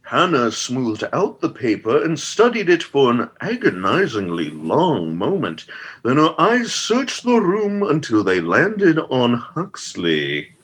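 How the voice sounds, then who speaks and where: Man playing a pompous gentleman storyteller, swooping voice. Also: mid-range voice, huge pitch swings, slow pace, husky, exaggerated voice. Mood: dramatic suspense. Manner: grandiose, theatrical, florid delivery. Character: pompous, vain gentleman storyteller with an absurdly long title. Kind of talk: scripted comedic radio-theatre storytelling.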